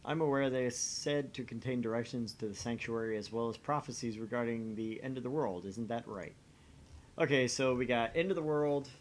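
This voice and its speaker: A man's voice: medium pitch, a little fast. A man co-hosting a visual novel read-along, voicing dialogue theatrically and slipping into jokey, self-deprecating banter. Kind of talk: animated reading of game dialogue with casual banter.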